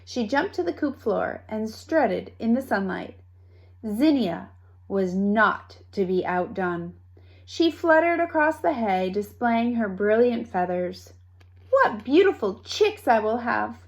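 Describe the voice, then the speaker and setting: A woman reading a children's story aloud with expressive narration and dramatic, deliberate phrasing. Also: medium pitch. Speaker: storybook reader.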